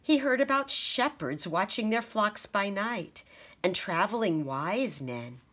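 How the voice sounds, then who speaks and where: Slow, expressive woman reading a picture book. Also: medium pitch. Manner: expressive, gentle storytelling. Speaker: teacher reading aloud. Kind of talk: children's picture book read-aloud.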